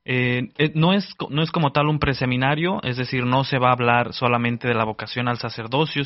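Male radio host, mid-pitched, measured pace; dull, dry studio sound. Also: no echo. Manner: measured, frequent "eh" fillers. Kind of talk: radio talk show hosting.

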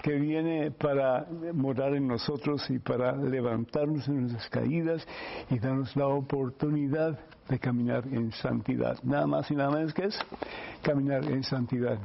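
A man's voice: medium pitch, steady tone, normal speed, vocal fry. A man, a priest hosting a radio program, preaching and then giving earnest, pastoral advice to a listener with many fillers. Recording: muffled radio broadcast with faint hiss.

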